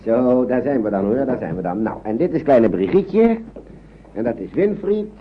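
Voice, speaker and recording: An actor playing a gentle, courteous older man in a radio play, speaking politely and reassuringly with some hesitation. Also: mid-range voice, sing-song, moderate pace, natural voice. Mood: fussing, friendly. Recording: muffled, noisy old radio drama recording.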